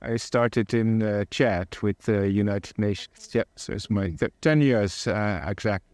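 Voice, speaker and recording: A man in his forties with a Dutch accent, talking casually as a diplomat guest, in measured, hesitant phrases. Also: lowish voice, darkish tone, no room sound. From Amsterdam, Netherlands. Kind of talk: casual interview conversation. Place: outdoor terrace set.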